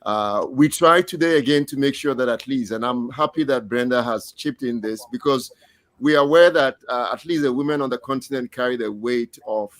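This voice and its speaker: A man speaking as a panelist in a measured, halting way, pausing often, over a video call. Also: mid-range voice, fairly bright tone. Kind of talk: casual panel discussion.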